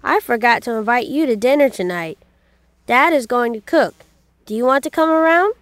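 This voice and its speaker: An eager young boy from a children's storybook, played by a man. The voice sits high and swings widely, urgent and earnest, in a very dry recording. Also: lively intonation, moderate pace, fairly bright tone, dry recording, soft background music. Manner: exclaiming, urgent. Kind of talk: children's storybook read aloud.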